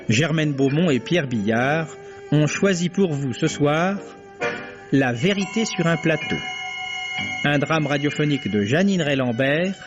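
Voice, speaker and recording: A man announcing the credits of a radio drama in a formal, deliberate way. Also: fairly high pitch. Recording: dull vintage radio recording with music.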